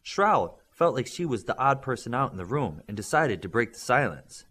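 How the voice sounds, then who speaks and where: A man narrating an audiobook in a steady, expressive reading. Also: medium pitch, moderate pace. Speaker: audiobook reader.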